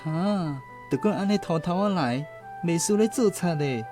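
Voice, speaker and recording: An actor playing a cheeky, playful schoolboy uncle in a radio drama, teasing and exclaiming with a Taiwanese accent. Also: male voice, a little high, animated, moderate pace, over music, natural voice.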